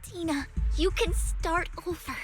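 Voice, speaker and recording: A woman voicing a gentle, soft-hearted girl in an anime-style video game, earnest and pleading. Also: extremely high pitch, a little slow, faint music behind. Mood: pleading, hopeful.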